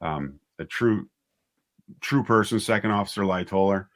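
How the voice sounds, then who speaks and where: A man with a low-pitched voice speaks as a writer and director in a casual interview. He feels his way through his thoughts, pausing and picking up again as he explains his work. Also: fairly bright tone.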